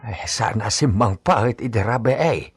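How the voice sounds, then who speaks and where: A man playing a worried, respectful older ranch servant with a husky voice in a radio drama. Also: fairly low pitch, moderate pace, soft background music, close to an everyday voice.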